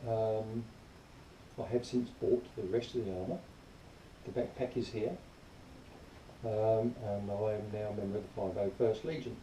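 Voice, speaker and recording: A man's voice: on the low side, fairly even intonation, normal speed, warm tone. A man giving a casual, plain-spoken and disgruntled product review to camera. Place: small enclosed room indoors. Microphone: camera mic some distance away. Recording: roomy, distant camera mic, faint noise.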